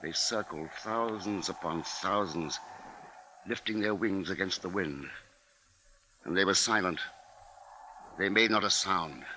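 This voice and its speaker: A man's voice: low-pitched, a little slow, long pauses, sharp tone, a little hiss or hum, low-quality recording. An actor playing a grave, anxious father who narrates dramatically in a 1950s radio drama.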